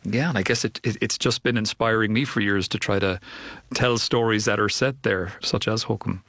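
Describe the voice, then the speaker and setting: A man with a Cork Irish accent speaks in a fairly low voice as an interview guest. He talks thoughtfully and often stops to repeat words and restart his sentences. The sound is dry and close. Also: fairly even intonation, moderate pace, a bit bright, no echo. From Ireland (Cork). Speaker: filmmaker guest. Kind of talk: interview answers.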